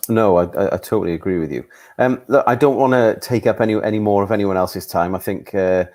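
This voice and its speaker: A man hosting a post-match discussion, talking keenly but haltingly with many restarts. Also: on the low side, darkish tone. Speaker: fan trust host.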